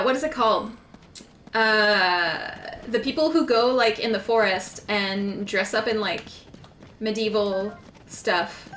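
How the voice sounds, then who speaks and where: Bright-voiced woman streaming games. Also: fairly high pitch, slight background noise. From Quebec, Canada. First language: French. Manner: chatty and rambling, with frequent "like" fillers. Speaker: game streamer. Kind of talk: casual chat while gaming. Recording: echoey room.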